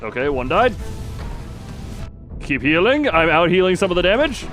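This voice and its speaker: Animated, higher-voiced man streaming a game. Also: moderate pace, soft background music. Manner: playful, rambling, breaks into exclamations and silly sound effects. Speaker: live streamer. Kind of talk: casual live gameplay commentary.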